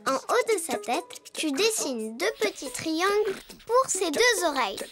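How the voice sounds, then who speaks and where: Tiny, extremely high-pitched cartoon sidekick. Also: very animated, a little slow, heavily acted. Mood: eager, instructive. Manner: exclamatory, eager, dramatic. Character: Yoko, small excitable, eager cartoon sidekick. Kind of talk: preschool cartoon dialogue. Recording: music behind the voice.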